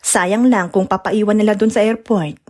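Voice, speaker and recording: A woman playing a kind older-sister friend who comforts and gently teases in an audio drama. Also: medium pitch, steady tone, moderate pace, lightly acted. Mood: teasing. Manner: comforting, teasing. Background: music.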